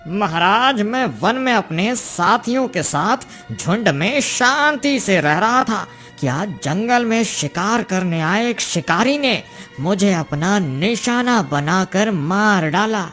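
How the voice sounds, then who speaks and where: A woman's voice: mid-range voice, lively intonation, slow pace, a bit bright, soft background music. A woman voicing the humble soul of a male elephant in a cartoon moral story, deferential and earnest. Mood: earnest, respectful.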